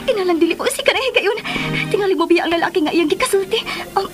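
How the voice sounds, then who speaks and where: A woman's voice: very high voice, expressive, fast. A woman acting in a radio drama as a scheming, gleeful spy who mutters and exclaims to herself in a melodramatic way. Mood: agitated, spying. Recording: studio radio drama over music.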